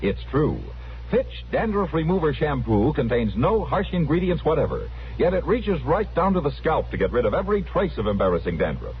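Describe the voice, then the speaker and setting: A man's voice: fairly high pitch, big pitch swings, brisk, slight background noise. A man announcing on 1950s radio in a polished, declamatory scripted style. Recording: dull vintage radio recording with music.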